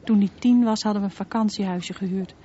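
A woman's voice: medium pitch, fairly even intonation, fast, warm tone, lightly acted. An actress playing a haunted mother confiding memories of her dangerous son in a radio drama monologue. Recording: muffled radio play recording, slight noise.

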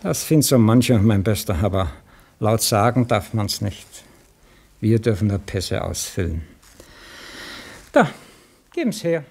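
An actor playing a jaded, bossy senior official in a radio drama, curt and condescending, with a Viennese accent. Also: male voice, on the low side, expressive, a little slow, gravelly, long pauses, darkish tone, breathy edge, exaggerated voice. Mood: jaded, wary. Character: grumbling, jaded senior male bureaucrat, bossy and cautious.

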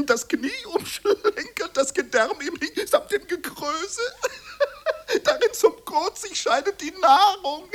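A man's voice: high-pitched, a little hiss or hum, heavily acted. A man performing an eccentric anatomy lecturer in an avant-garde radio play, theatrical, stretching words and swooping erratically. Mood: manic. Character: eccentric anatomy lecturer slipping into manic wordplay. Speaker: performer in a spoken-word sound composition.

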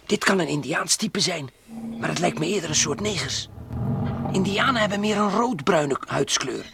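A man's voice: high voice, expressive, normal speed, gravelly, noisy background. Een man die in een ouderwets hoorspel voor kinderen een besliste, nieuwsgierige en plagerige ruimtereiziger speelt. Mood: amazed. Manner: decisive, mocking, wondering aloud. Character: bold, curious, teasing male space explorer. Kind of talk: old-fashioned children's radio play acting. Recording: old radio play recording.

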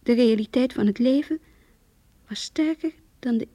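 A lovesick young woman, played by an actress speaks with a breathy, somewhat high voice in a very dry, close sound. She is earnest and intimate, as if confiding a diary in a radio drama. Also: moderate pace, mellow, dry recording, lightly acted. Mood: hurt, sorrowful. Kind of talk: Dutch radio play, diary monologue and dialogue.

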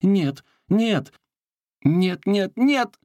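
A man voicing an enchanted man trapped in a hairpin, chatty at first and soon shrill with panic. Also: sing-song, normal speed, a little mellow, husky, no echo, heavily acted. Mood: panicked shrieking.

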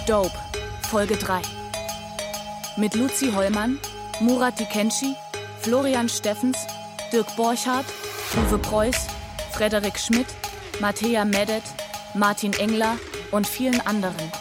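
Credits announcer: woman, medium pitch, scripted radio delivery over loud music. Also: fairly even intonation, slow pace, pauses often.

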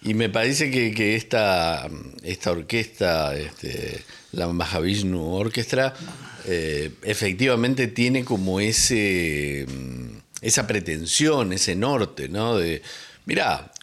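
Low-voiced radio co-host. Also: male voice, lively intonation, takes it slowly, fairly bright tone. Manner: meandering, thinking aloud with fillers. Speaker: radio music show co-host. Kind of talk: casual on-air chat about music.

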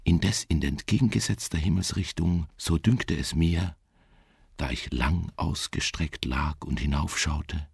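A melancholy wanderer telling a gothic tale, super deep raspy voice, really slow n hushed, radio drama style, bone dry sound. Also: male voice, dry recording, full character voice. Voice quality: husky, gravelly, hushed. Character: solitary dreamy male wanderer recounting a melancholy gothic tale.

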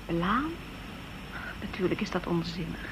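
An actress playing a composed, discreet secretary in a radio drama, formal, reserved and pointed, on a dull, noisy old recording. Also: female voice, lowish voice, expressive, a little slow, a bit bright. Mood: challenging. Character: composed, discreet female secretary, probing and stubborn.

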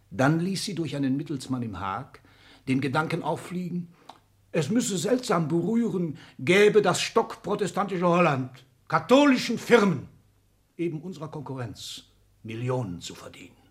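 Male actor as bossy proud old tycoon, seventy, combative, old 60s radio drama style, mutters then booms. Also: close to an everyday voice. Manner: theatrical, commanding, swings from muttering to thundering. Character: imperious seventy-year-old male patriarch, proud, combative captain of industry. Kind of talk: 1960s German radio drama acting.

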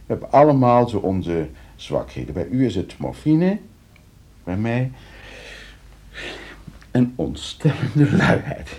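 A smug, scheming lawyer in a radio drama. His Netherlands Dutch is suave and condescending, swinging widely in pitch as he repeats himself with false hospitality. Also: very animated, slow pace, long pauses, a little mellow. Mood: needling, smooth. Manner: suave, hesitant repetitions, condescending. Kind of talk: radio play acting.

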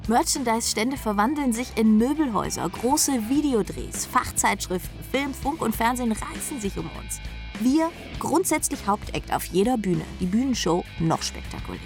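A woman's voice: on the high side, animated, normal speed, vocal fry, darkish tone. An actress playing a brash, world-weary rock drummer who tells her story in a sardonic, rambling way in a radio drama. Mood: grandiose dreaming. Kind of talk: radio drama first-person narration. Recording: music playing behind the voice.

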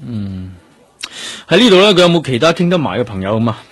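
An actor playing a composed, methodical police detective in a Cantonese radio drama, with a Hong Kong accent and a measured, probing, matter-of-fact delivery. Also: male voice, medium pitch, big pitch swings, breathy edge, dry recording. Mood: probing, businesslike. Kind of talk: radio drama acting, questioning and inner monologue.

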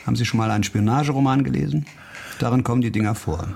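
An actor in a German radio crime drama playing a sardonic, impulsive investigator who probes and mutters wry asides. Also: male voice, mid-range voice, racing, creaky, a little mellow, a little hiss or hum, close to an everyday voice. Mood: matter-of-fact, probing. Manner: wry, probing, mutters dry asides to himself. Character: sardonic, impulsive male investigator, a disgraced doctor turned amateur policeman.

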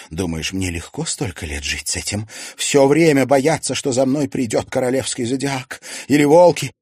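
Man acting dramatic, defensive actress mother, husky. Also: deep voice, no room sound, clean studio sound. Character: evasive, vain middle-aged actress mother, defensive and frightened.

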